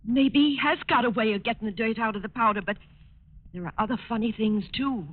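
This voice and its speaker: A woman's voice: a little high, brisk, lightly acted. An actress playing an earnest, plain-spoken middle-aged cleaning woman in 1940s radio drama style. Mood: earnest, worried. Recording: tinny, noisy 1940s radio recording.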